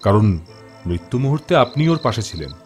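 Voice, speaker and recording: An actor playing a confident young paranormal investigator in an audio horror drama, explaining things gravely and deliberately. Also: male voice, mid-range voice, very animated, a little mellow, faint music behind, close to an everyday voice. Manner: grave, deliberate explaining, mixes in English words.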